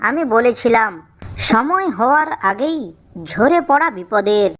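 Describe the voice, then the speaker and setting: Woman voicing high, calm, wise ripe mango. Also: unhurried. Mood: sad, reproving. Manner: calm, advising. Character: patient wise ripe yellow mango, older brother. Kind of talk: children's moral cartoon dialogue.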